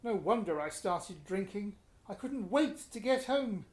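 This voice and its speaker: A man's voice: high-pitched, lively intonation, unhurried, darkish tone. In an echoey room, a man acts out a middle-aged British husband, a vain and embittered actor in a radio play. He is scornful and exasperated, forever justifying himself. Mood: bitter, angry.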